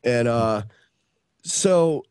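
A man's voice: mid-range voice, expressive, drawn-out words, a little mellow, clean tone, dry recording. A man in his early fifties from upstate New York, telling stories in a rambling casual way on a podcast video call. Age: 50s. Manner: rambling, many restarts and fillers. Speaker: podcast guest. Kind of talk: casual storytelling chat.

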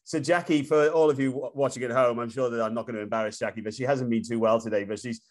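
A man's voice: mid-range voice, fairly even intonation, fast. Hesitant man hosting a webinar. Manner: hesitant, many fillers and restarts. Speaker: webinar host. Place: room at home. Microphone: laptop webcam on a video call.

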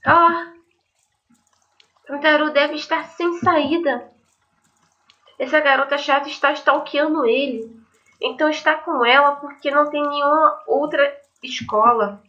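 A woman's voice: high voice, steady tone, unhurried, pauses often, pushed and bright, voice pushed up. A woman voicing an unstable, lovesick teenage schoolgirl from a visual novel, pleading and repeating herself dramatically. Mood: frantic. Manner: stammering, pleading, dramatic repetition. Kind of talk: visual novel character read-aloud. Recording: dull lo-fi mic, music behind.

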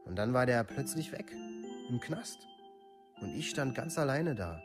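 An actor playing a troubled village youth from a far-right scene in a radio play, speaking colloquially in short clipped phrases. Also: male voice, mid-range voice, big pitch swings, a little slow, over music. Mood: subdued, confiding. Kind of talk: documentary radio play monologue.

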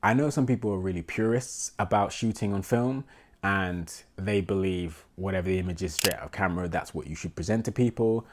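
A man in his thirties with a London accent, a portrait photographer vlogging to camera in a small home room, congested with a cold, talking in a relaxed, rambling, self-deprecating way. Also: sits low, brisk, slight background noise. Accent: London English. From England. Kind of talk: casual talking-to-camera vlog.

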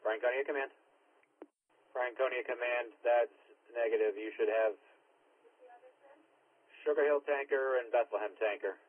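A male fire and ambulance dispatcher speaks over a two-way radio in a clipped, procedural way. His voice is medium-pitched and rough-edged. The sound is narrow and tinny, like a scanner feed. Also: steady tone, moderate pace, pauses often. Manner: clipped, repeats units and times. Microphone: dispatch radio microphone.

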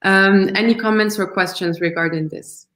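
A woman's voice: medium pitch, steady tone, moderate pace, fairly bright tone, roomy sound. A woman founder presenting her project on a video call, earnest and thoughtful, with frequent ums and restarts. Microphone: video call webcam.

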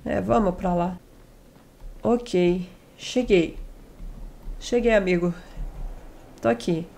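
A young woman hosting a gaming channel, chatting casually through a let's play on a headset mic, still a little hoarse from a cold. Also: mid-range voice, slow, dark tone. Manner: chatty, jokey asides to the game characters. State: recovering from a cold, slightly hoarse.

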